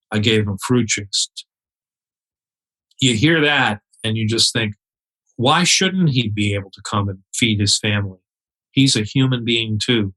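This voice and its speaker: A man with a bright, forceful voice talks in a relaxed interview. He tells long stories with real feeling and acts out other people's words. He often stops and restarts his sentences as he thinks. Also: on the low side, low-quality recording. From United States. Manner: earnest, quoting others with feeling, many restarts. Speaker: podcast guest, charity cyclist. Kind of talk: conversational interview storytelling. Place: home in New York City.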